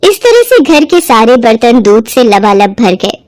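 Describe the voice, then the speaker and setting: A woman's voice: on the high side, very animated, a little slow, background noise. A woman reading scripted narration for a devotional storybook tale as the storyteller.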